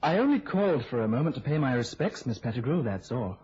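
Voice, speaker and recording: A young newspaper reporter in a vintage radio drama. His husky, mid-pitched voice rises and falls widely as he coaxes and persuades. The recording is old and muffled. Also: sing-song, fast, a little mellow. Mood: reassuring. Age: young adult. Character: eager, coaxing young male newspaper reporter.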